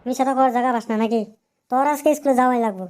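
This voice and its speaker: A woman's voice: high voice, fairly even intonation, racing, a little mellow, faint music behind, lightly acted. A woman voicing a strict, fed-up mother in a comic cartoon, scolding bluntly in an eastern Bengali accent. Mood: exasperated scolding.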